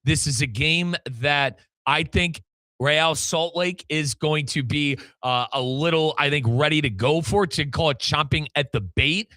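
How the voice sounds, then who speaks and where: A bright, punchy American man hosts a soccer talk show. His voice sits a little high and sounds very dry. He speaks emphatically and colloquially, leaning on repetition. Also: a little slow, no echo. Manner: emphatic, repeats phrases for effect, colloquial. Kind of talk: sports talk commentary and interview.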